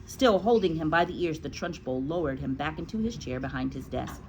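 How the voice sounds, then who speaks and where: Animated woman reading aloud to a class. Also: sits low, a little fast, a little hiss or hum, low-quality recording. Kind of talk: animated storybook read-aloud with asides.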